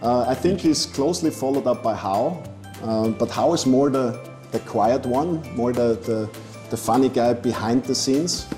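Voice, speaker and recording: A man from Austria, a coach, answering interview questions in a relaxed, joking way with frequent restarts. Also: mid-range voice, moderate pace. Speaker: basketball coach. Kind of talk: casual interview. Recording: echoey room with music behind.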